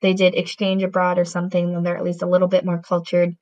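A woman's voice: on the low side, monotone, quick pace, darkish tone. A woman in casual conversation who rambles, with many fillers and restarts. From Latin American heritage. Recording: dull lo-fi audio.

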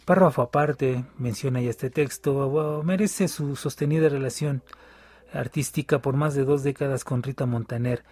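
Husky radio host. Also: male voice, medium pitch, dark tone, no room sound. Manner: measured, reading aloud with filler pauses. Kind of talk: radio music program, reading from a book.

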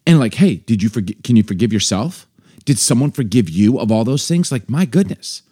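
A man co-hosting a casual faith podcast, animated and jokey, often laughing. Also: medium pitch, fairly quick, husky. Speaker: podcast co-host.

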